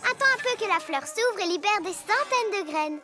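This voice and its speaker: A woman's voice: very high voice, lively intonation, fairly quick. A woman playing a gloating young girl villain in a children's cartoon, taunting and theatrical. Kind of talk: French-dubbed children's cartoon acting. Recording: music behind the voice.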